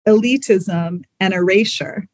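A woman's voice: medium pitch, a little slow, no room sound. Female, mid-range voice, dry recording. Thoughtful, articulate conversationalist who rephrases as she reasons aloud. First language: English. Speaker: museum professional, interview guest. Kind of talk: reflective conversation.

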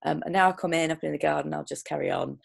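A woman's voice: deep voice, big pitch swings, rapid-fire, gravelly. A woman hosting a casual interview chat, talking in loose, rambling sentences full of restarts and ums. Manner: chatty, lots of restarts and ums. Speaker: podcast host.

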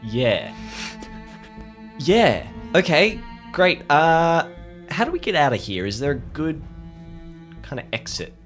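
A man's voice: a little high, big pitch swings, takes it slowly, long pauses, fairly bright tone, soft background music. A man playing in an improvised audio drama, moving between hesitant, earnest dialogue and narrating his character's actions.

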